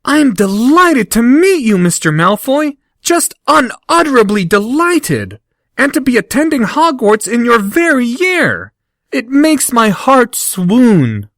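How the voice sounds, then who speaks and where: A man voicing a young boy who gushes with theatrical, flowery flattery. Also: slow, no echo, clean studio sound, exaggerated voice. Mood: mock-groveling. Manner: over-the-top flattery in a high voice.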